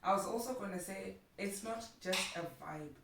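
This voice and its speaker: A woman's voice: mid-range voice, moderate pace, vocal fry. A woman from Zimbabwe with a Zimbabwean accent, chatting as an interview guest and making her points emphatically with repeated phrases. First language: Shona. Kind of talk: casual conversation about marriage. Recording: echoey room, distant mic, faint noise.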